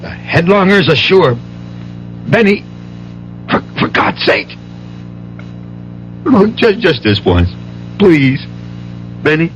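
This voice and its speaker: A hissy old radio drama recording of a desperate gambling father. His pitch swings widely as he stammers, pleads and turns bitter, pressing hard on his words. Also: big pitch swings, takes it slowly, pauses often, muffled recording. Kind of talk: 1970s radio drama acting. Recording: noisy old radio broadcast with hum.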